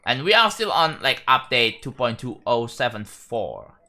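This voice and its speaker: A young man from Indonesia with an Indonesian accent, chatting casually on a live stream, rambling and halting with frequent restarts. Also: medium pitch, very animated, pushed and bright. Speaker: livestreamer.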